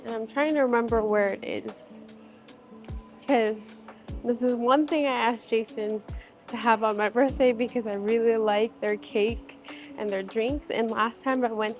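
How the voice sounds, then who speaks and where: A woman in her thirties talks to a handheld camera as she wanders a mall, her voice sitting a little on the high side. She speaks in an unhurried, chatty way, slips in small giggles, and phrases things a touch formally. Store music plays clearly behind her. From Washington state, USA. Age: 30s. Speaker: vlogger. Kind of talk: casual vlog chat while shopping. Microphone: handheld gimbal camera.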